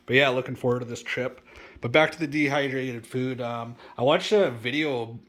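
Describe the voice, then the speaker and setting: A man's voice: medium pitch, normal speed, no echo. Stuffed-up man chatting casually. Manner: relaxed, rambling, with many fillers and restarts. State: stuffed up from a cold. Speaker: bushcraft vlogger. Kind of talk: casual outdoor vlog talk.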